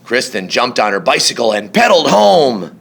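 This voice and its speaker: A man reading a children's story aloud in an expressive, theatrical way, drawing out key words for emphasis. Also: medium pitch, moderate pace, gravelly, husky. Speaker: storybook reader. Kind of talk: animated children's read-aloud narration.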